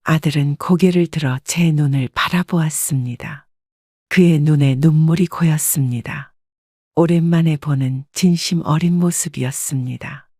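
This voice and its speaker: A woman narrating a scripted first-person life story in a calm, even manner. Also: deep voice, normal speed, no room sound, clean studio sound.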